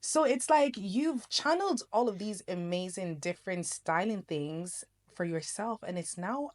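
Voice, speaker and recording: A woman's voice: medium pitch, expressive, measured pace, a little mellow. A woman co-hosting a podcast, asking questions in an enthusiastic, slangy chat. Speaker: podcast co-host.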